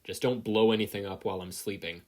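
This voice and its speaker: A reader voicing a weary, deadpan male teacher in a story, drawling and grumbling with boredom. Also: low voice, fast talker, lightly acted.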